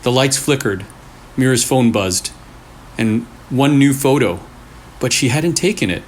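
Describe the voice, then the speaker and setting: A man reading a scary story aloud in short, deliberate phrases with pauses for suspense. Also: medium pitch, lively intonation. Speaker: storyteller, channel host. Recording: clearly noisy room recording.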